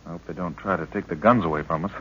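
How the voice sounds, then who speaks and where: A keen young adventurer in an old radio serial, low but forceful, with a husky edge. He sounds eager and respectful. The sound is dull and lo-fi. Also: very fast, slight background noise. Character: eager young male expedition member, loyal. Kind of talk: 1930s radio drama acting.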